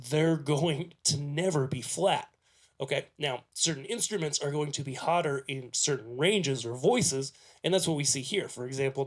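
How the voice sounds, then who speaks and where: A man presenting a casual hands-on tutorial, explaining conversationally and often checking in with the listener as he goes. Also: on the high side.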